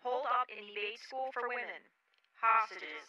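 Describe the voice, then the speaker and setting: A woman plays an urgent police dispatcher in a superhero fan dub. Her voice is slightly high and very crisp-edged, with clipped, official phrasing. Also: animated, measured pace, sharp tone, lo-fi sound, full character voice.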